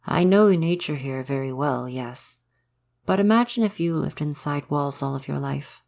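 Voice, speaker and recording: A man voicing a gentle, shy, curious forest spirit guardian in an intimate ASMR roleplay, speaking in careful, formal, hesitant phrases. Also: on the high side, moderate pace, gravelly. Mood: earnest, uneasy.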